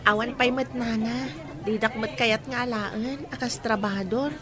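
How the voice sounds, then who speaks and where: A woman's voice: a little high, fairly even intonation, measured pace, a crowd in the background, close to an everyday voice. A woman playing a humble, sorrowful young orphan in an audio drama, speaking plaintively and respectfully, with background noise. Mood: humble, sad. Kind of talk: dramatized audio story acting.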